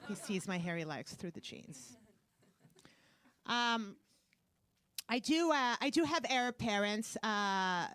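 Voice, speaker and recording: A woman's voice: medium pitch, lively intonation, takes it slowly, pauses often, fairly bright tone, clean tone, no echo. A woman from Canada with a Brooklyn-sounding accent, performing a stand-up comedy set on a club stage, delivering brash, self-mocking punchlines into a handheld mic. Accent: Brooklyn-sounding English. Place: club stage before a live audience.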